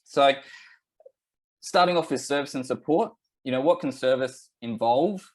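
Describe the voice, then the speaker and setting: Australian male presenter, mid pitch, loose and relaxed, lots of ums, computer mic. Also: a little slow. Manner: relaxed, many fillers and restarts. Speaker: webinar presenter. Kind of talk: presenting a technical webinar.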